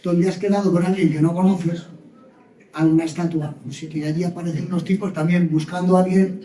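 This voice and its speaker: Man, casual storyteller, high but mellow voice, roomy far-off sound. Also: steady tone, a little fast. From Spain. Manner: chatty storyteller who acts out little dialogues, laughs often. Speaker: speaker sharing travel experiences. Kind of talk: informal talk to a group. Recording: distant mic in an echoey room.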